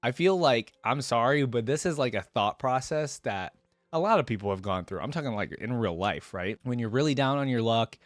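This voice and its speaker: A man's voice: on the low side, no room sound. A man reading a story aloud as a reaction host, with informal, slangy asides, recorded close on a microphone.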